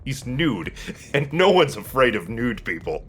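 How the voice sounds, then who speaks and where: A man's voice: mid-range voice, very animated, a little slow, faint music behind. A man chatting casually and jokingly as he commentates on a video game, swearing freely. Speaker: let's play commentator. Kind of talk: casual gaming chat with co-host.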